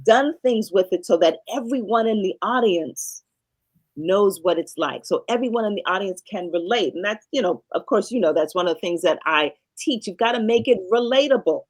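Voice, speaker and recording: A middle-aged woman guesting on a podcast who talks like a speaking coach. She is emphatic and teacherly, repeating short phrases to hammer each point home. Her voice sits at an ordinary middle pitch for a woman. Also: expressive, normal speed. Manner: emphatic teacherly explaining with repetition. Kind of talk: podcast interview conversation.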